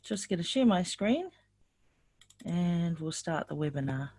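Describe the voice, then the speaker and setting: A woman's voice: fairly low pitch, very animated, unhurried, darkish tone, no echo. An older woman from New Zealand presenting an online sales webinar, upbeat and asking her audience lots of questions.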